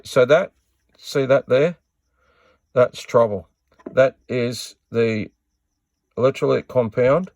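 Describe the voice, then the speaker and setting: A man's voice: mid-range voice, creaky, darkish tone, no room sound. A man giving a casual hands-on repair tutorial in a plain-spoken, matter-of-fact way.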